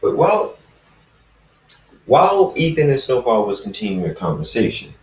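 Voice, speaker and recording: A man reading amateur fan fiction and chatting to viewers in a halting, self-deprecating, rambling way. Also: medium pitch, very animated. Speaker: fan fiction reader, video host. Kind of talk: amateur story reading and casual vlog chat. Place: dark room, in front of camera. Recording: roomy echo, distant mic.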